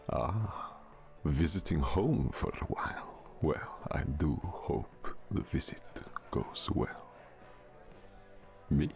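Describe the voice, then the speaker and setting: A man playing an ancient, courtly vampire count, formal, suave and often breathy, in an intimate ASMR roleplay. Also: rumbling low, darkish tone, heavily acted. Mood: courtly, inviting. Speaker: voice actor. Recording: music behind the voice.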